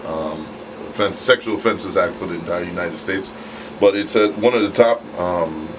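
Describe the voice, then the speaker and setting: Deep male voice, casual co-host, halting questions, away from mic, clear room noise. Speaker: talk show co-host. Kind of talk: casual questioning on a talk show. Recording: distant mic, clearly noisy room with hum.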